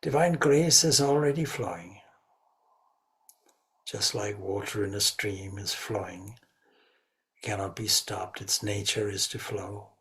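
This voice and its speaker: A man leading a guided meditation as a spiritual teacher, speaking reverently in short, measured phrases. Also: fairly low pitch, vocal fry, fairly bright tone.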